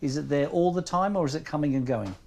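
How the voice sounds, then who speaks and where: A calm Australian doctor's voice, a man speaking a little higher than most men. He explains things gently and patiently, checking often that he is understood, in a quiet consulting room. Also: quick pace.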